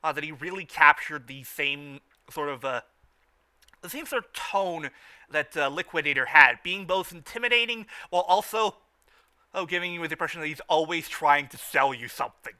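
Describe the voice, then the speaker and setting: A man's voice: fairly high pitch, normal speed, sharp tone. A man in a casual fan discussion as a podcast co-host, often stammering and repeating words and phrases as he goes. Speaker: podcast co-host reviewing a cartoon.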